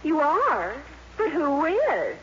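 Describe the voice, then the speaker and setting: A woman's voice: sits high, huge pitch swings, takes it slowly, full character voice. An actress playing a gushing, gloating, breathlessly dramatic teenage girl in a 1950s radio comedy. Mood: amazed, scandalized. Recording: muffled, very noisy old radio broadcast.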